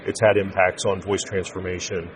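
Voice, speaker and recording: A man giving measured, unscripted corporate explanations as an interview guest. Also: low-pitched, level tone, background noise. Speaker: interview guest, telecom sales executive. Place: busy naval trade show event.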